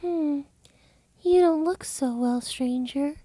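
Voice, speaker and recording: A woman acts out an eerie teenage spirit girl from a fantasy audiobook, high and mellow. She speaks slowly and dreamily, playful yet condescending. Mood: mock concern. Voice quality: dreamy, distant. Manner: condescending, unhurried musing. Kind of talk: fantasy audiobook character acting.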